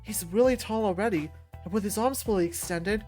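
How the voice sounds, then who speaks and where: A woman reads a visual novel aloud as its narrator. Her voice sits at a middle pitch, and music plays softly behind her. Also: fairly quick.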